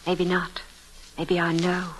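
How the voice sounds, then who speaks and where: A woman's voice: lowish voice, completely flat delivery, slow pace. An actress playing an intense, suspicious young woman in a 1940s radio drama, earnest and pressing. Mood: intense, probing. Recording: noisy vintage 1940s radio recording.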